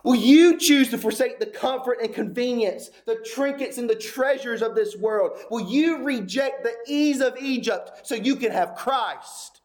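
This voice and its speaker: High-voiced man preaching a sermon. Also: a little slow, few pauses, a little mellow. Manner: preaching with rising intensity, repetitive rhetorical questions. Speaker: pastor.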